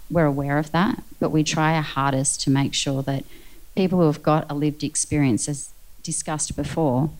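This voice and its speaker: A woman's voice: deep voice, darkish tone, low-quality recording. A woman speaking earnestly as a panel guest, leaning on the word actually. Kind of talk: panel discussion.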